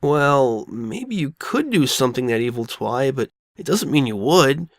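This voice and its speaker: A woman voicing a loyal, reassuring young boy dragon who talks plainly and gently insists, in an audio drama. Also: very deep voice, sing-song, unhurried, no room sound, pitched below the performer's own voice, full character voice. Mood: soothing. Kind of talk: all-dialogue fan audio drama.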